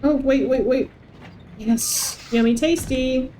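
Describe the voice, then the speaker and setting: A woman's voice: sits high, slow pace, dark tone, slight background noise, low-quality recording. A woman chatting casually and wryly while she plays through a video game on stream. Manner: playful, wry. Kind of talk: casual playthrough chat.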